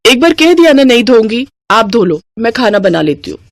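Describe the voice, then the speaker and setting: A woman's voice: on the high side, sing-song, fairly quick, no room sound. A woman voicing a lazy, pampered younger sister-in-law, whiny, cheeky and defiant, in a family moral story. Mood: whining refusal.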